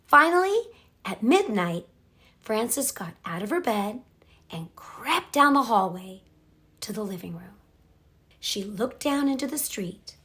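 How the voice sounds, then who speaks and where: A woman narrates a children's storybook with a lively, swooping melody. She takes her time over each phrase, and her slightly high voice has a husky, breathy edge. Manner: expressive, measured read-aloud delivery. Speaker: picture book reader.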